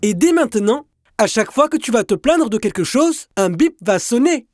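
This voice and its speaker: A woman's voice: fairly high pitch, lively intonation, moderate pace, a little mellow, no echo, clean studio sound, faint music behind, close to an everyday voice. A woman voicing a bossy, stern young female pet in a children's cartoon, commanding and dramatic. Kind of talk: French-dubbed children's cartoon acting.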